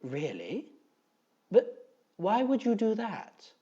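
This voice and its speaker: A reader voicing a polite, earnest man who questions things with calm curiosity. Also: medium pitch, moderate pace, lo-fi sound, lightly acted. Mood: puzzled, reasoning.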